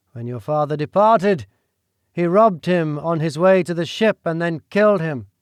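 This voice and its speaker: A man doing a loud menacing duke. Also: high voice, clear, resonant tone, no echo, clean studio sound, voice pushed up. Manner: forceful, loud commands.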